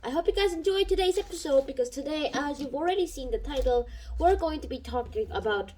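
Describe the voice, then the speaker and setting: A woman talks through a book review in a casual, unscripted way. She thinks aloud and circles back on her points, and her pitch rises and falls a lot. She speaks into a home microphone. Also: animated, brisk, darkish tone. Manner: rambling, thinks aloud with fillers and restarts.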